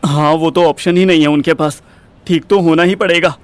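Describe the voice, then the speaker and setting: Man playing a high-voiced, exasperated young wisecracker. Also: fairly even intonation, normal speed, clean tone, no room sound, close to an everyday voice. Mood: anxious, earnest. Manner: slangy Hinglish, exasperated, wisecracking. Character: frustrated young man, jokey, streetwise, protective boyfriend. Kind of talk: audio drama dialogue.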